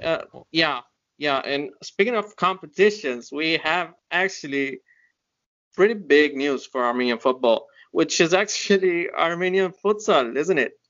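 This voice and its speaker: Smooth, slightly high young man. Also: animated, a little slow, fairly bright tone. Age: young adult. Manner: easygoing, hesitant with fillers. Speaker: podcast co-host. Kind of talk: casual sports chat. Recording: dull lo-fi audio.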